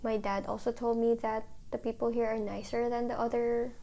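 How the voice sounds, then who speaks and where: A young woman from the Philippines speaking softly and hesitantly in a reflective documentary interview, with many fillers. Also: on the high side, flat delivery, fairly quick.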